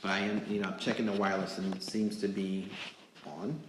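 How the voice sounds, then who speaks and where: A man hosting a casual live stream, chatting in a rambling, halting way as he fills time. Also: deep voice, little pitch movement, background noise. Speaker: magazine editor hosting a live interview show. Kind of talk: casual improvised livestream hosting. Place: indoors in a cake studio. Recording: roomy, echoing live stream indoors.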